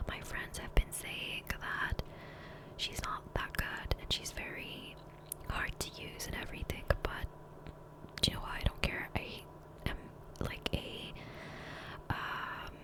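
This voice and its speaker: A woman speaking in a soft, breathy whisper close to the microphone, rambling casually and self-deprecatingly as an ASMR gaming creator. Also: takes it slowly, rough recording. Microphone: close USB condenser microphone.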